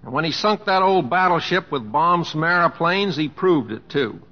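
Easygoing homespun american humorist, fairly high voice, old radio drama, muffled with stage reverb. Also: male voice, expressive, normal speed. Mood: plain conviction. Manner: drawling homespun phrasing, self-effacing, plain-spoken. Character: easygoing, folksy middle-aged cowboy humorist.

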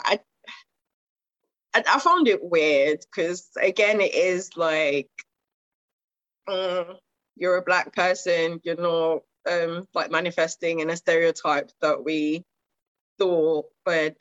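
A woman's voice: fairly low pitch, fairly bright tone, dry recording. A young woman from Zimbabwe talks through her poetry in a loose, meandering way, with fillers everywhere. The sound is dry and close, with a slightly dull, lo-fi edge. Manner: rambling, with frequent fillers and laughs. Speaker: guest poet. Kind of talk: casual conversational interview.

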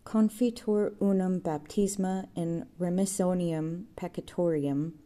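A woman voicing a proud, bitter young workman who declaims loudly and scornfully. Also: on the low side. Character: proud bitter young male stonemason, tipsy.

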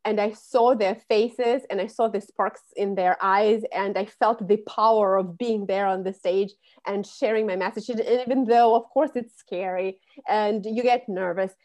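A woman's voice: mid-range voice, a little mellow. A woman, a public speaking coach who explains things fluently in an interview. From Russia. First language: Russian. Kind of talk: podcast interview.

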